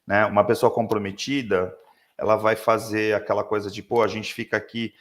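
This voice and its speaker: Hoarse Brazilian man on a live webinar. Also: on the low side, little pitch movement, brisk. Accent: Brazilian Portuguese. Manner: reflective, lots of tag questions. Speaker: leadership speaker.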